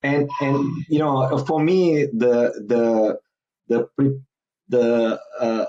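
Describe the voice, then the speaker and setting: Slow, halting man from Singapore. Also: medium pitch, steady tone, dark tone, highs cut off. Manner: halting, many fillers and restarts. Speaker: guest commentator. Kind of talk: podcast interview.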